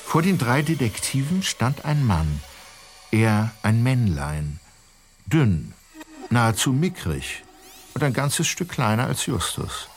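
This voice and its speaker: A man narrating a German detective radio play as an expressive, measured storyteller. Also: fairly low pitch, a little hiss or hum, heavily acted. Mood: descriptive, intrigued.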